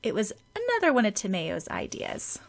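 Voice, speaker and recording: A woman's voice: mid-range voice, huge pitch swings, moderate pace, creaky. A woman reading scripted story narration as an audiobook narrator.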